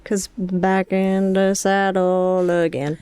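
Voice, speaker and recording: A woman speaking as a guest scholar in casual academic discussion, searching for her words in a measured way. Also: medium pitch, flat delivery, mellow, clear, resonant tone, dry recording.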